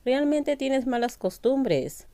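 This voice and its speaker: Female reader as young man in love, insecure yet feisty, mellow, dry close sound, storybook romance. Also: high-pitched, animated, takes it slowly, no echo, voice pushed up. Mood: reproachful.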